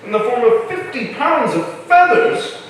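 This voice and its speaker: A scheming colonial councilman acted by a man orates pompously in a stage drama. The high voice swings widely in pitch and rings from far off in an echoing hall. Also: very animated, unhurried, slight background noise, heavily acted. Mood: sarcastic, accusing. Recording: very echoey hall, distant mic.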